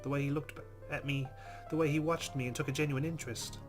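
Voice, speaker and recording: A man's voice: fairly high pitch, steady tone, fast. A man hosting a let's play, reading visual novel narration aloud. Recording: music behind the voice.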